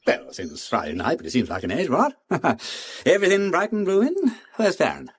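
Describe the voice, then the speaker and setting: A jovial upper-class English gentleman detective in a radio play. His voice swoops widely with a slightly husky grain. He rambles breezily and repeats phrases for emphasis, sounding sillier than he is. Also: a little high, sing-song, moderate pace. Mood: jovial, probing. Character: jovial, chatty aristocratic English gentleman detective, deceptively silly. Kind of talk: radio drama acting.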